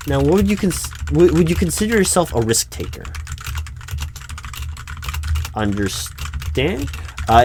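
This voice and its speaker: A man acts out a corporate recruiting manager in an audio roleplay, speaking at a middling pitch. He is courteous and formal but awkward, often stammering as he feels his way through the interview. Keyboard typing and light background noise sit behind the voice. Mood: politely probing. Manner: hesitant, stammering, politely formal. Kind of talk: one-sided audio roleplay, loud spoken ASMR. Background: computer keyboard typing.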